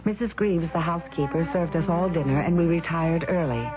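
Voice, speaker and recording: A woman plays an uneasy young heroine of a gothic radio mystery. Her voice sits fairly low and carries dramatic dread as she tells her tale. It comes through a dull radio recording with music clearly behind it. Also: a little fast, lightly acted. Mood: uneasy, hesitant.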